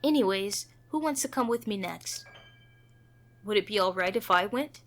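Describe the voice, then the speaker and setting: A woman's voice: mid-range voice, expressive, moderate pace, a bit bright. A woman reading a visual novel aloud, moving between narration and character dialogue, with music playing behind her. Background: background music.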